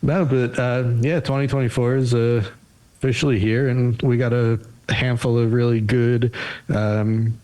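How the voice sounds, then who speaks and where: A man hosts a podcast in an easygoing, rambling manner. His voice sits at an ordinary middle pitch. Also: flat delivery, measured pace, warm tone, rough recording. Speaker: podcast host. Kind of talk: casual podcast chat.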